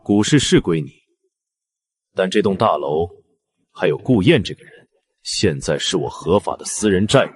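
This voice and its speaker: A man's voice: low voice, sing-song, normal speed, pauses often, husky, heavily acted. A man playing a cold, possessive, domineering gang boss who speaks in commands in a drama. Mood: cold, commanding.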